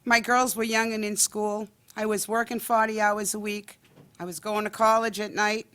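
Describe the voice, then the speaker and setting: This middle-aged woman from Massachusetts has a big voice of ordinary pitch. She speaks as a candidate in a self-assured way and has a joking edge. Her personal story unfolds at a public forum. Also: level tone, moderate pace, fairly bright tone.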